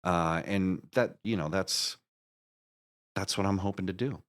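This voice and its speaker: A man speaking as a podcast guest, giving conversational answers in an earnest, halting way with emphatic restatements. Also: low-pitched. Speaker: podcast guest, musical creator.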